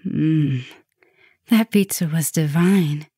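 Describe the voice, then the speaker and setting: A woman playing a tender, gently dominant vampire professor in an intimate ASMR roleplay, speaking softly and soothingly, often slipping toward a whisper. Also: deep voice, no room sound, studio quality, heavily acted. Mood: relaxed, flirty. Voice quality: soft, breathy, often dropping to a whisper. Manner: slow, soothing, affectionate murmuring with pauses.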